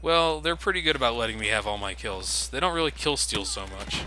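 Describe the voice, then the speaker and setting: A man's voice: medium pitch, expressive, a little fast, bright, forceful tone, rough recording. Sarcastic man commentating gameplay. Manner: sarcastic, swears casually. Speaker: let's play commentator. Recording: game noise underneath.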